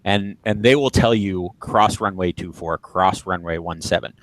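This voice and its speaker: A man's voice: low voice, normal speed, dry recording. A man giving an informal lesson as an instructor, explaining step by step and repeating points for emphasis. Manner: explanatory, emphatic repetition for stress. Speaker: flight instructor. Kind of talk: informal live lesson on radio procedures.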